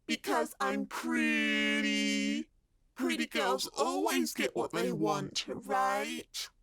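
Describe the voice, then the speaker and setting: Killer doll girl, horror radio play, high husky voice, slow swooping singsong, sweetly menacing, vain. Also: animated, fairly bright tone, no echo, studio quality, exaggerated voice. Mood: smug, taunting. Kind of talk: horror audio drama acting.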